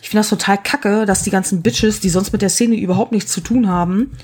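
A husky woman in her forties talks in a loose, casual chat. She is frank and blunt and drops slang freely. Her voice has a slightly rough, breathy edge. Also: medium pitch, steady tone, brisk, darkish tone.

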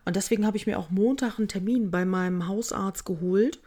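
A woman's voice: medium pitch, brisk, mellow. A woman co-hosting a podcast, chatting casually and joking about herself. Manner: joking, chatty, self-mocking. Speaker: podcast co-host. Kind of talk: casual chat.

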